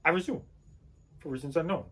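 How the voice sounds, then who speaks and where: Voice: medium pitch, brisk, fairly bright tone, lightly acted. An actor performing a wretched servant's absurdist stage monologue, declaiming pseudo-scholarly nonsense with stammering repetitions and swings between murmur and cry. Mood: muttering, rambling. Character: Lucky, a wretched servant spouting frantic broken pseudo-scholarly rambling.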